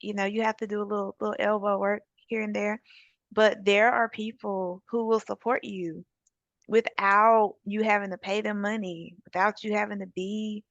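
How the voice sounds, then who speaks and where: Gravelly-voiced woman giving practical encouragement. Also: medium pitch, little pitch movement, moderate pace, no room sound, lo-fi sound. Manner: encouraging, practical advice with scripture references. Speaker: suicide prevention advocate. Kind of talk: conversational interview guest.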